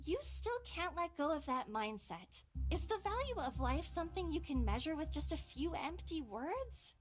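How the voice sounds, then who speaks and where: Young idealist nurse, high lively voice, sweet but fierce, earnest, defiant, game drama, loud music. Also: female voice, normal speed. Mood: stern, indignant. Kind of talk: video game voice acting.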